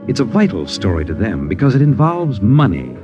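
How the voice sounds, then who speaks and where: Low, portentous suspense narrator over music. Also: male voice, wildly swooping pitch. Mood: wry, portentous. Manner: dramatic, deliberate, portentous. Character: grave, ominous male narrator of a suspense tale. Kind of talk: 1950s radio drama narration. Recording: dull old radio broadcast.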